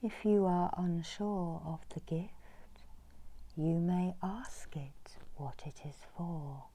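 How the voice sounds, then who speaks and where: A middle-aged woman softly whispers an ASMR guided meditation in a breathy, soothing, deliberate way with long pauses. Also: on the low side, steady tone. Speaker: reiki healer guiding a meditation. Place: indoors, small room.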